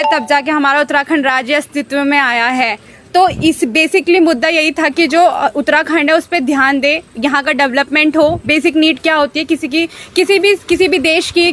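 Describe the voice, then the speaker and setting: A woman's voice: high-pitched, a bit bright. A woman in her twenties from Uttarakhand, a protesting trainee teacher, argues her case with indignation and urgency at an outdoor protest site. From Uttarakhand, India. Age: 20s. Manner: indignant, pleading, rapid argument. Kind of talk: impassioned street interview.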